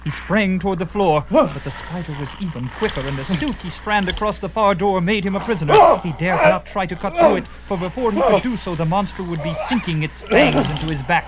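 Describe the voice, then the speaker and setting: A man's voice: high-pitched, brisk, hardly any pauses, over a noisy crowd. A man narrating a fantasy adventure in a theatrical, suspenseful and emphatic style, with music behind him.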